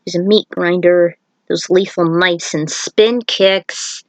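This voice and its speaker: A woman's voice: on the low side, fairly even intonation, takes it slowly, no room sound. A woman reading her own fan fiction aloud, breaking into chatty, casual asides about it. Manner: chatty, self-commenting asides.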